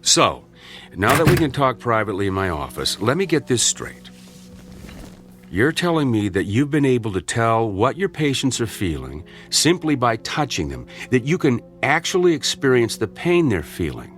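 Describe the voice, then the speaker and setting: A skeptical, sarcastic doctor in a radio drama. His pitch swings widely as he talks, over a light music bed. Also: big pitch swings, normal speed, a bit bright. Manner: sardonic. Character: skeptical, dryly sarcastic senior male clinic doctor.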